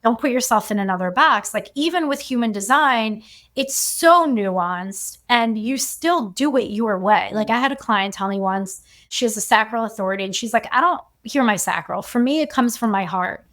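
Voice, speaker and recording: A woman human design coach talking as a podcast guest, chatty and relaxed, and she often says "like.". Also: on the high side, normal speed. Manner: chatty, fills her speech with "like". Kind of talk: casual podcast conversation.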